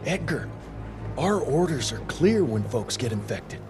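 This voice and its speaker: A man's voice: medium pitch, sing-song, unhurried. An actor playing a tough young male hunter who is curt, hot-headed and swears. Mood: tense. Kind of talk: game cutscene acting. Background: music score.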